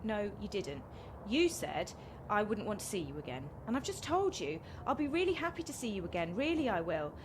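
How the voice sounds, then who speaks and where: A woman's voice: medium pitch, very animated, a little fast, background noise, natural voice. A British actress playing a flighty, good-hearted woman in a radio play, speaking frankly and reassuringly. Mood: kind, frank.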